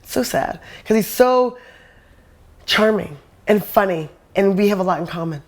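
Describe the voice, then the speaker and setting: A woman's voice: medium pitch, lively intonation, normal speed. A middle-aged woman with an American accent, from a suburb of New York City, talking candidly in a personal interview. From suburb outside New York City, United States. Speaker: interviewee, playwright and performer.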